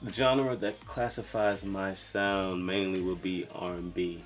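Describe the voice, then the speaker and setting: A young man from Brooklyn, New York, a singer-songwriter talking casually about his music with a soft voice and lots of "you know"s. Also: sits low, measured pace. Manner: relaxed, rambling, lots of fillers like "you know".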